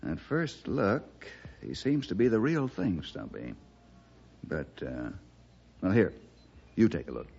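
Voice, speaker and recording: An actor playing a calm, level-headed western lawman hero, speaking in a measured, probing way in a radio drama. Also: deep voice, gravelly, slightly rough, heavily acted. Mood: steady. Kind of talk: old-time radio western acting. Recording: dull vintage radio recording.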